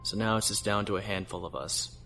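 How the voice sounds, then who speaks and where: A weary starship captain with a fairly low voice. He speaks earnestly and reflectively, as a principled leader in a space audio drama, with music behind him. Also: a little fast, bright, forceful tone. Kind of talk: science fiction audio drama acting.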